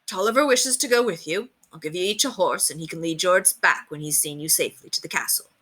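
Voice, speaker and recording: A woman's voice: on the low side, quick pace, sharp tone, breathy edge. A woman voicing a noble, kindly old knight, hearty and commanding, in a storybook read-aloud. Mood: calm, kindly. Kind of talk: storybook character reading.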